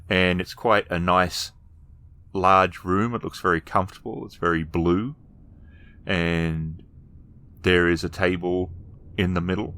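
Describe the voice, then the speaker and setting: A man narrating a tabletop roleplaying game as storyteller, describing scenes in improvised fragments and pausing to find words. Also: sits low, fairly bright tone.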